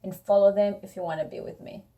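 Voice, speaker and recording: An outspoken young woman from Congo talks through a relaxed couple conversation, firm about her views. She stays sassy and direct, with her pitch sitting in a middle range. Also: quick pace. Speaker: co-host. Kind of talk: casual couple chat.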